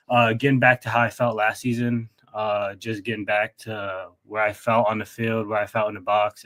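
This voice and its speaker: A man's voice: medium pitch, fairly bright tone. Young adult male athlete from Texas, mid-range voice, courteous and earnest, conversational interview answers. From Texas, United States. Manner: polite, often says yes sir, earnest. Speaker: college baseball player, interview guest. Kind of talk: casual sports interview.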